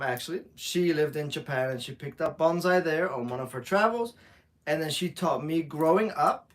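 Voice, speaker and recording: A man from Switzerland, co-owner of a bonsai shop, explaining a tree during a live demo in a relaxed way, with fillers and restarts. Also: high voice.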